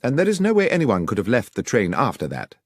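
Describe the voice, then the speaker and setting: A man voicing a sharp, precise gentleman detective who questions people with dry irony. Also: mid-range voice, huge pitch swings, fast talker, no room sound, voice pushed up. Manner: crisp, probing, dryly ironic. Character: sharp, precise male detective, middle-aged.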